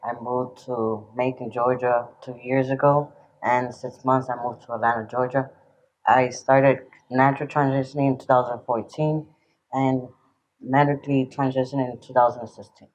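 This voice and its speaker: A man's voice: medium pitch, level tone, moderate pace, a little hiss or hum. A man from Miami introducing himself on a panel in a matter-of-fact way. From Miami, Florida. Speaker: panel guest. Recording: slightly dull, lo-fi sound.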